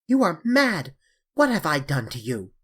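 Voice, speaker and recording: A man voicing a desperate young gentleman who pleads for his life and then turns stern, with a husky voice pitched high and strained. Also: animated, moderate pace. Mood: panicked. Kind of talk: audiobook character voice.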